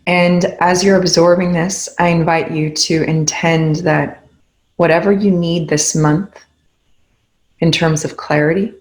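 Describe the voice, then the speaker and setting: A woman leading a guided meditation, speaking in a calm, gently instructive way with unhurried spoken cues. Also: on the low side, low-quality recording.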